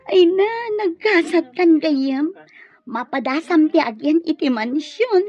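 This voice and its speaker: Excitable scheming girlfriend's confidante, radio drama, fairly high, animated, goading. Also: slow, muffled recording. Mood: excited, goading. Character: cunning young woman friend egging on a scheme.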